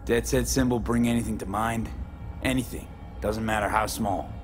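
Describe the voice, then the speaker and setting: An actor playing a grim but loyal street mercenary in a video game. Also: male voice, fairly low pitch, fairly even intonation, moderate pace, over music, lightly acted. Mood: steady, reassuring. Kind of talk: video game dialogue acting.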